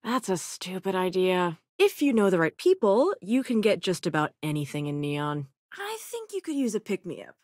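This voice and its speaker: Sassy, animated young sci-fi companion. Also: female voice, fairly low pitch, normal speed, hardly any pauses, dry recording, studio quality. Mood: cool, teasing. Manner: sassy, wry, casual. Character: sassy, self-assured young scientist and smuggler companion in space. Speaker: video game companion character. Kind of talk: acted video game dialogue lines.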